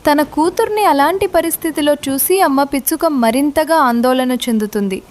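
A woman's voice: high-pitched, fairly quick, darkish tone. A woman narrating a children's cartoon story from a script.

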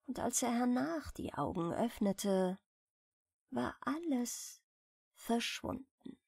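A woman softly narrating fairy tales for bedtime in an unhurried, expressive storytelling manner. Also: fairly high pitch, vocal fry, husky, no echo, clean studio sound. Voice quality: soft. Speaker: audiobook narrator. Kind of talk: gentle bedtime fairy-tale reading.

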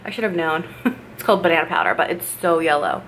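A woman's voice: deep voice, sing-song, fast talker, fairly bright tone. A young woman in her twenties rambling casually while doing her makeup for a vlog in her bedroom, filling her talk with "like". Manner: rambling, filler-heavy, upbeat chatter while doing makeup. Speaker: vlogger. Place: bedroom, indoors. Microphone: camera mic at desk distance. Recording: echoey room with faint noise.

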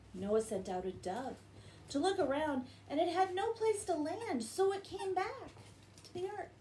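A middle-aged woman with an American accent speaks to children as a bedtime storyteller. Her voice sits on the high side and she takes her time. It is a home recording with some noise behind her. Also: dark tone. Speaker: children's Bible story reader. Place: living room at home, indoors.

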